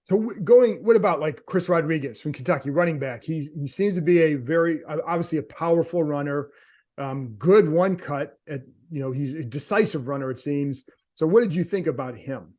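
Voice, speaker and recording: A man's voice: on the high side, brisk, a little mellow. A man hosting a football podcast, asking a guest conversational questions about draft picks. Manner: conversational questioning with brief affirmations. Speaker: podcast host. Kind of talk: casual podcast interview.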